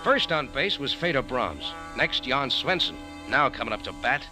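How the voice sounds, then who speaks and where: Actor as hard-boiled American sleuth over dramatic music. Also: male voice, medium pitch, normal speed, close to an everyday voice. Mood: cool, narrating. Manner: laconic, hard-boiled, deadpan. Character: cool, wry American café owner turned sleuth. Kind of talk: 1940s radio drama narration and dialogue.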